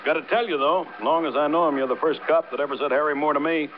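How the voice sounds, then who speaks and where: Cocky American construction boss played by a man, old-time radio: slightly high voice, slangy, breezy, talkative toughness. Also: quick pace, lightly acted. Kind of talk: 1950s radio drama acting. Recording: muffled, noisy vintage radio recording.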